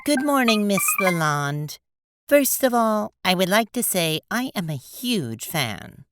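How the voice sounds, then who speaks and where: A woman's voice: medium pitch, very animated, takes it slowly, fairly bright tone, no echo, studio quality, soft background music. A woman playing a prim, earnest, easily confused alien troll who speaks formally in an audio drama. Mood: polite, nervous. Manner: formal, wordy.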